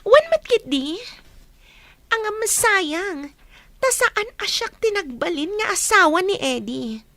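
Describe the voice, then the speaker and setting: A brazen young mistress in an Ilocano radio drama. Her voice is very high and swoopy at a slow pace, with an Ilocano accent. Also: big pitch swings, sharp tone, no room sound, exaggerated voice. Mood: smug. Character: brazen, self-satisfied pregnant mistress, young woman.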